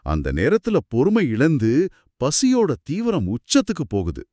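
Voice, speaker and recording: A man with a mid-pitched, very expressive delivery co-hosts a talk. He sounds curious and reflective, recorded dry and free of room echo. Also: moderate pace. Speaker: podcast co-host asking questions and summing up. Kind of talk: scripted conversational discussion.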